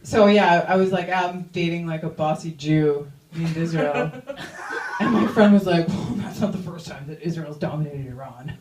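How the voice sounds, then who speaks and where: A woman's voice: deep voice, moderate pace, reverberant, recorded from a distance, noisy background. A young woman doing a stand-up comedy set in a chatty, self-mocking way, full of "like" asides. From Iranian-American. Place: comedy club stage.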